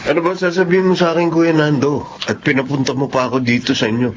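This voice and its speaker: A man's voice: fairly high pitch, level tone, fast, music in the background, close to an everyday voice. A man playing a trusting, betrayed husband in a Filipino radio drama, incredulous and pleading. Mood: wary. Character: trusting, betrayed husband and younger brother, agitated. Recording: dull lo-fi audio.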